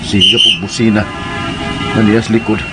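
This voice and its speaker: A man's voice: fairly low pitch, level tone, moderate pace, lightly acted. A man playing a grumbling, worried driver muttering to himself in a radio drama. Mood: weary, annoyed. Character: grumbling, worried man driving in city traffic. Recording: very noisy, car sound effects. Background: car engine and traffic effects.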